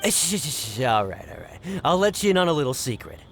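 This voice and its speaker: A man's voice: mid-range voice, huge pitch swings, brisk, creaky, slight background noise. An actor playing a boastful, crafty male fruit seller wheedling his way through a sale in a video game. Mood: wheedling, sly. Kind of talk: animated video game dialogue.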